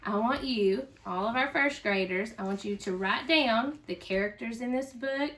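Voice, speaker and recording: A woman who teaches first grade, reading aloud to young children in an expressive, animated storybook manner. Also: fairly high pitch, moderate pace, distant mic.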